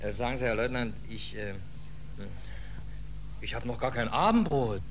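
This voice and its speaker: An actor playing a weary, defiant stateless German sailor in a 1940s radio drama, blunt, colloquial and wry. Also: male voice, mid-range voice, animated, moderate pace, lightly acted. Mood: hesitant, wry. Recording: noisy old 1940s radio recording.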